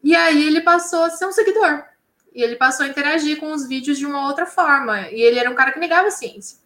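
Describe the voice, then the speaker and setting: Bright, animated woman in an echoey room. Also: high voice, quick pace. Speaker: science communicator on a channel. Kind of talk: casual interview talk.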